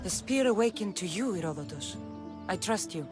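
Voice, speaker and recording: A woman's voice: mid-range voice, lively intonation, normal speed, lightly acted. An actress playing a determined young female warrior who talks directly and dryly, over game music. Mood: questioning.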